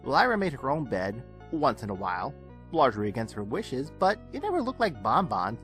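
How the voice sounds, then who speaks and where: A man reading a scripted fan fiction story aloud with expressive narration. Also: mid-range voice, brisk. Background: soft game music.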